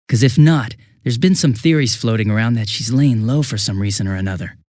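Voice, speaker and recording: An actor playing a bumbling, chatty young police detective in a video game dub, with an American accent and a sheepish, stammering, casual manner. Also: male voice, mid-range voice, a little fast, a little mellow, dry recording, clean studio sound, close to an everyday voice. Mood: confiding, casual.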